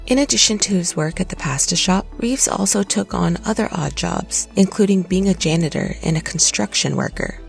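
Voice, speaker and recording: A narrator reading a scripted documentary in a steady, even way. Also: medium pitch, gravelly. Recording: light music underneath.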